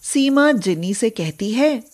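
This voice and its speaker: A woman's voice: on the high side, lively intonation, slow pace, a little mellow. A woman narrating a scripted children's moral story as a storyteller.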